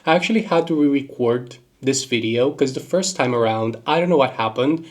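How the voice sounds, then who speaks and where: Chatty congested young man. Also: mid-range voice. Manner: chatty, rambling, quick bursts with laughs. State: congested from a cold. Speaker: book reviewer. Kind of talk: casual monthly reading wrap-up.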